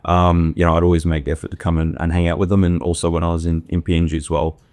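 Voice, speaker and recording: A man from Australia with a very low, steady, fairly flat voice, recorded dry and close. He talks reflectively as a podcast guest, circling his points with fillers and restarts. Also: fast talker, no echo. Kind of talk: casual interview talk.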